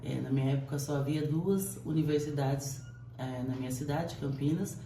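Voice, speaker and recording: A man who teaches language and literature speaks calmly and reflectively. His voice is mellow and a bit high for a man. He sounds far from the mic in an echoey room, with faint noise behind. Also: moderate pace, gravelly. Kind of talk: documentary interview. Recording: roomy and distant from the mic.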